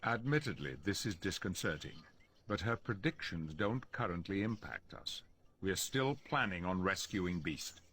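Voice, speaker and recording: A composed, low-voiced professor. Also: male voice, lively intonation, fairly bright tone, a little hiss or hum. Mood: grave. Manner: measured, formal. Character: composed, authoritative professor leading a hero team. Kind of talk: video game cutscene acting.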